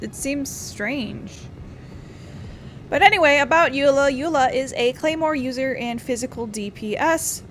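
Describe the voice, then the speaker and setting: A woman's voice: on the high side, slight background noise. A woman giving casual, rambling let's play commentary as a gaming streamer. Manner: rambling, informal. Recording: game music behind the voice.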